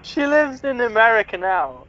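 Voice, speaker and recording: A man trades dry, teasing remarks and quick asides in a casual comedy chat. His voice is muffled and slightly dull in the recording. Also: extremely high pitch, sing-song, sharp tone, faint music behind. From Liverpool, England.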